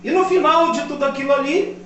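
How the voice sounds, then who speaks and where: A man in his fifties, a pastor from Brazil, preaching a sermon that swells louder on key points. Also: very high-pitched, steady tone, fast talker. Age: 50s. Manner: impassioned preaching, rising loud on key points. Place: church. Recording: echoey church hall, mic at a distance. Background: congregation responding.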